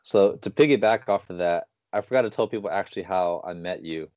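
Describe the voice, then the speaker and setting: Low-voiced man in his thirties. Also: dry recording, highs cut off. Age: 30s. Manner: animated storytelling, quick bursts. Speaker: podcast host. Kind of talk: casual interview chat.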